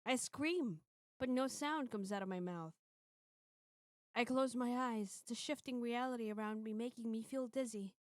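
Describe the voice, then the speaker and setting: A woman's voice: fairly high pitch, moderate pace, no echo, studio quality. A woman live-streaming, reading a visual novel aloud and chatting casually between passages.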